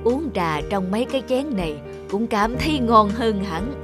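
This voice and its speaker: A man playing a gentle, hospitable older uncle in an animated children's tale, with music underneath. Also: high-pitched, normal speed. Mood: welcoming.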